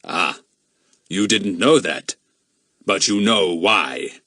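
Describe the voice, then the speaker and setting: Storybook villain, performed by a male reader: menacing, bullying man, slow threatening delivery, close dry sound. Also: deep voice, expressive, bright, forceful tone, dry recording, full character voice. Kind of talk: audiobook character voice.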